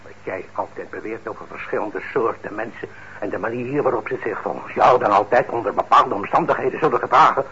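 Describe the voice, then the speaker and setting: An actor playing a stern, principled middle-aged father in a radio drama, speaking in a measured, admonishing way. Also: lowish voice, bright, forceful tone. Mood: indignant, reproachful. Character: stern, principled father and craftsman, indignant but fair. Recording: muffled old radio recording, faint noise.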